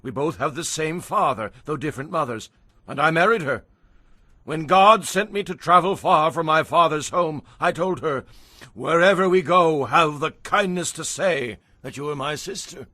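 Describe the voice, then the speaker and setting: A man's voice: fairly high pitch, normal speed, sharp tone, dry recording, clean studio sound, pitched above the performer's own voice. A man playing a self-justifying old patriarch explaining himself in a dramatized audio Bible. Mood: defensive, explaining.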